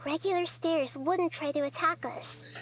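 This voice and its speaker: A woman voicing a small, wise young goddess who speaks softly and explains things in a measured way. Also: very high-pitched. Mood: calm. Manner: soft, measured, gently explaining. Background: game music.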